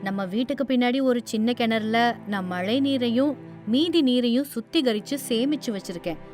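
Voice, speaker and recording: A level-headed village wife from an animated storybook who argues her case plainly and patiently, with a voice set a little high, as a woman acts her out. She sounds earnest and practical rather than showy. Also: normal speed, soft background music, close to an everyday voice. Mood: firm. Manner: earnest, persuasive, plain-spoken. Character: sensible thrifty village wife, firm and practical. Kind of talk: storybook voice acting.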